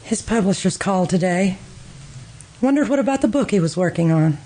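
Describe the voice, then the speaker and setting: An actress playing a grieving, stubborn wife in an old-time radio drama, firm yet fragile. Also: female voice, medium pitch, expressive, fairly quick, mellow, a little hiss or hum, close to an everyday voice, poor audio. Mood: firm, subdued. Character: grieving, stubborn wife clinging to lost husband.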